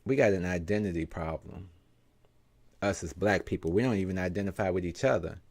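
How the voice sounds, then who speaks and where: A man's voice: low voice, a little fast, darkish tone. A man hosting a show, giving impassioned commentary with emphatic rhetorical questions. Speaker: show host.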